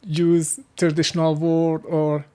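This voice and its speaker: A man from Afghanistan with an Afghan accent and Hazaragi as his first language, answering casually in halting, searching English. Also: a little high, monotone, warm tone, clear, resonant tone. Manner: halting, searching for words in non-native English. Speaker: interviewee. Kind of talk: casual interview conversation.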